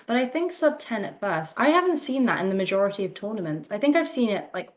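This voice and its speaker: A woman co-commentating on a livestreamed tournament in a casual, conversational style. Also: on the high side. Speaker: esports co-commentator.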